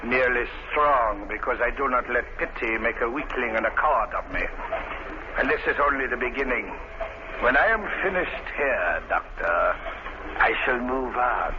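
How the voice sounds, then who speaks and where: An actor playing a merciless megalomaniac criminal mastermind in an old radio crime drama, speaking formally and with menace. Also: male voice, mid-range voice, expressive, moderate pace, natural voice. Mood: gloating menace. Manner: menacing, formal, grandiose villain delivery. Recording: noisy, muffled vintage radio recording.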